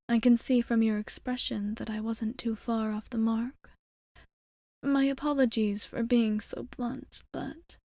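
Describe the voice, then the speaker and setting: A gentle immortal vampire bartender, acted by a woman, speaks in an intimate ASMR roleplay. The voice is fairly high but mellow and unhurried, breathy to the edge of a whisper. The phrasing is courteous, formal and a little hesitant, addressed tenderly to one listener. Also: dry recording, studio quality, full character voice. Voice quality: breathy, often slipping into whisper. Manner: soft-spoken, hesitant, formal old-fashioned phrasing. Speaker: ASMR performer.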